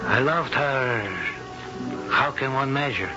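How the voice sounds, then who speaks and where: A man's voice: medium pitch, lightly acted. A man playing a brooding nobleman. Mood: confessional. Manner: impassioned, slow and heavy. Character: brooding older Spanish nobleman, widower. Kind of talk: 1970s radio drama acting. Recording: dull old radio broadcast with music.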